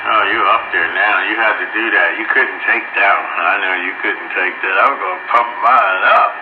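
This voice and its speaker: A man's voice: fairly low pitch, fairly even intonation, brisk. A man making casual banter over a two-way radio as an operator. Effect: two-way radio transmission. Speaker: amateur radio operator on air. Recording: muffled, echoey radio with heavy static.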